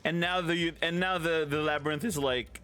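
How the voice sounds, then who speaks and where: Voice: mid-range voice, steady tone, normal speed, a bit bright, slight background noise. A gaming streamer giving casual let's play commentary in an amused, wry, chatty way. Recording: faint game music behind.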